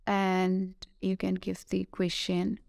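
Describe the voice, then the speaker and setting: Smooth-voiced woman walking through software. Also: medium pitch, monotone, takes it slowly, no room sound. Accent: Indian English. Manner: hesitant, frequent "uh" fillers and self-corrections. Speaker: software tutorial presenter. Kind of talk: screen-recording software walkthrough.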